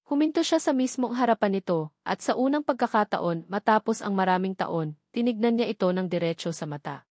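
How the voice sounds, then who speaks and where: A woman's voice: medium pitch, animated, brisk, a little mellow, no room sound, clean studio sound. A woman narrating a scripted romantic drama as an audiobook, with dramatic, emphatic phrasing.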